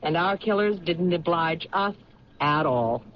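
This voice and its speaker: A woman playing a persistent police lieutenant in an old radio drama, questioning and reasoning deliberately. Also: on the low side, lively intonation. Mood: pensive, reasoning. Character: sharp, persistent female police lieutenant investigating murders. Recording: muffled, noisy 1970s radio recording.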